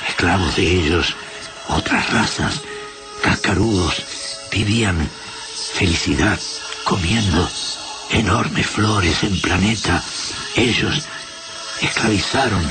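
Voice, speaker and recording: Slow, deep, dying alien. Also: male voice. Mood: mournful. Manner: halting broken syntax in short fragments. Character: dying alien slave invader, gentle, nostalgic, mournful. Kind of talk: radio drama acting.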